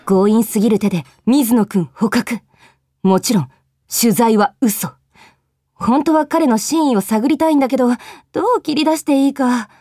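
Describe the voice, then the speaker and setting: A woman's voice: fairly high pitch, moderate pace, no room sound, close to an everyday voice. An actress playing a pushy, flustered young office woman in a Japanese radio drama. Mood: wry inner monologue. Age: young adult. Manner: insistent, excitable. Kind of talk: Japanese radio drama acting with inner monologue.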